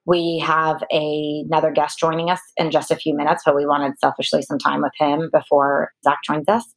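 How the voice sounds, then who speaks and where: A woman co-hosting a podcast, gushing warmly and enthusiastically as she introduces a guest. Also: low voice, flat delivery, normal speed, rough recording. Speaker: podcast co-host. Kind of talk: casual podcast chat.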